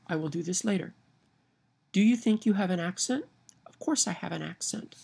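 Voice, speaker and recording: A woman's voice: lowish voice, animated, normal speed, gravelly, darkish tone, breathy edge. A woman from Kansas with a Midwestern accent and a nasal twang, reading a word list and a novel passage aloud to a webcam at home. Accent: Midwestern American. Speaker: vlogger. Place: home room by window blinds, indoors. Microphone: webcam at arm's length.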